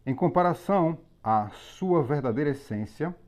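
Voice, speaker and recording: A man teaching a religious lesson on Hebrew letters, explaining methodically with pauses and repetition. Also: a little high, expressive, a little mellow. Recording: slightly dull lo-fi recording.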